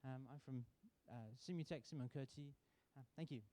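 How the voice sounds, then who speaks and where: A man from the UK giving a sponsor's welcome speech at a student contest, relaxed and halting with many fillers. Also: mid-range voice, background noise. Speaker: sponsor representative. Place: university auditorium.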